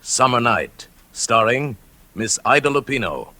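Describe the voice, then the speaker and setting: A man's voice: mid-range voice, big pitch swings, a little slow. An American man introducing a thriller as a dramatic old-time radio announcer. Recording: old radio broadcast, loud music behind.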